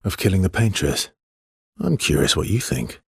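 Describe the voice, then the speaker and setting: A weary video game swordsman with a low, gravelly voice, heavily husky and worn. He talks in an understated, guarded way, with hesitations and a dry, teasing fondness underneath. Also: sing-song, moderate pace, dry recording, exaggerated voice. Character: world-weary adult male expedition swordsman, guarded but wryly affectionate. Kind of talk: video game character dialogue, conversational.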